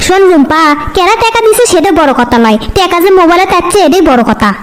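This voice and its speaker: A woman voicing a boy-crazy, gullible young college girl in a comic cartoon, dramatic and excitable, with a northern Bangladeshi accent. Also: very high-pitched, fast talker, background noise. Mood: smug, excited. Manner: dramatic, self-pitying and boastful. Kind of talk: comic cartoon dialogue in rural dialect.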